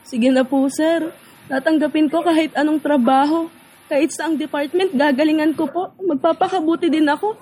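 A humble young woman worker, played by an actress in a radio drama. She pleads earnestly and deferentially, her voice pitched high with desperation. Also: fairly even intonation, normal speed, a little mellow, natural voice. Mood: desperate pleading.

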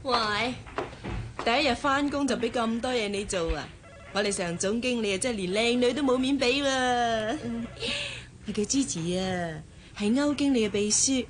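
Woman playing a gossipy, high-voiced Hong Kong secretary. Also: normal speed, slight background noise, natural voice. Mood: breezy, gushing. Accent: Hong Kong Cantonese. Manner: gushing, gossipy, with giggles and English words. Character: chatty, gossipy, vain young office secretary. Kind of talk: radio drama acting.

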